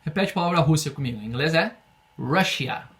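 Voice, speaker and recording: A young Brazilian man teaches an online English class, walking students through phrases with patience. He slows way down to model each phrase for repetition. His voice sits a little high for a man. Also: lively intonation, fairly bright tone. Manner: patient, explains slowly, drills phrases for repetition. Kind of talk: online language lesson.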